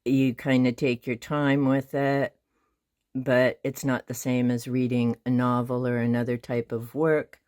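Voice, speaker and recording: A middle-aged woman, a native Hungarian speaker, calmly and methodically touring her bookshelves for her channel. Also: rumbling low, unhurried. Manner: calm, methodical counting and listing. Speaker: booktube host. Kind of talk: casual shelf tour.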